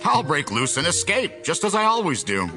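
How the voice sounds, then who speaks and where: An actor playing a strong, teasing biblical hero in an audio drama, with a drawn-out, deliberate delivery. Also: male voice, on the high side, huge pitch swings, over music. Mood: confident. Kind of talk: audio Bible drama acting.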